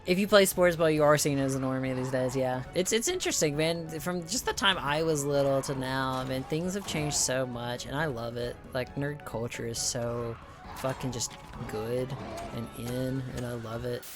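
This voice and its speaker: A young man from the with a higher-pitched voice, chatting casually with his stream viewers, rambling, swearing a lot and restarting often. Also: normal speed, over music. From American South. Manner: rambling, heavy swearing, many restarts and fillers.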